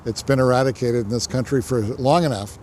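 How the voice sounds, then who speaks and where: Deliberate male interviewee. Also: mid-range voice, steady tone, darkish tone, a little hiss or hum. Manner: deliberate, with fillers. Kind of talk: interview recollection.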